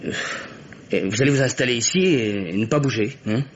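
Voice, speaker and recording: An actor playing a concerned, loyal friend in an old French radio mystery, reasoning politely with hesitant interjections. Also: male voice, mid-range voice, brisk, natural voice. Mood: reassuring, practical. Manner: formal address, hesitant, interjects often. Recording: dull, noisy vintage radio recording.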